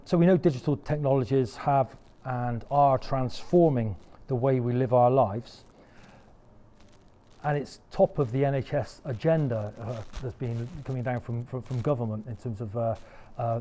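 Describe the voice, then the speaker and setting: A man moderating a panel, speaking loudly without a microphone. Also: medium pitch. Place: conference room.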